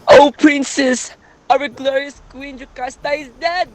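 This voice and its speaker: A man's voice: high-pitched, unhurried. A man playing a grave messenger of doom in a readers' theatre Greek tragedy, with dramatic, emphatic delivery. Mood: horrified, grieving. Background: music.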